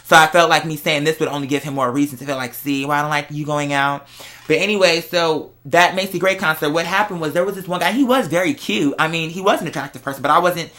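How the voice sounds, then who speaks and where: Bright forceful voice, middle pitch, vlog host, casual confessional chat, animated slangy storytelling. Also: fast.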